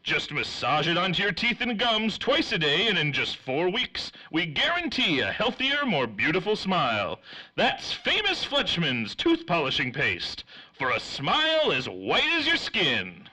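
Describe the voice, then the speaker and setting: An actor playing a showy, earnest 1930s radio announcer with a theatrical, emphatic sales delivery. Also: male voice, high voice, huge pitch swings, a little slow, bright, forceful tone, exaggerated voice, poor audio. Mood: hearty selling.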